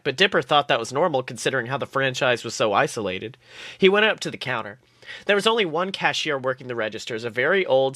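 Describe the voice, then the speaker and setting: A man hosting a show, reading comic fan fiction aloud in an expressive, playful storytelling way. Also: medium pitch, no room sound, clean studio sound. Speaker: podcast host.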